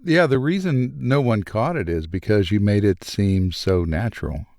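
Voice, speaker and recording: A man's voice: on the low side, animated, moderate pace, a little mellow, no echo. A man chatting casually as a cast member, giving feedback on a panel.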